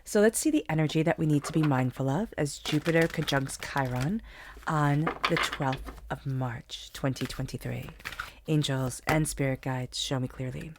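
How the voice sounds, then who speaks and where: A woman's voice: low voice, normal speed, noisy background. A woman reading tarot cards for her viewers, talking in an earnest, encouraging way while she has a cold. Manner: soothing guided meditation, then earnest spiritual pep talk. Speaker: tarot reader. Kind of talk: solo tarot reading to camera.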